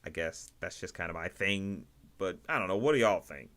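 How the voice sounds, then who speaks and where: A man hosting a livestream, giving casual, rambling commentary in a halting way, often repeating and rephrasing himself. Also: sits low, expressive, fairly bright tone. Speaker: livestream host reviewing indie animation.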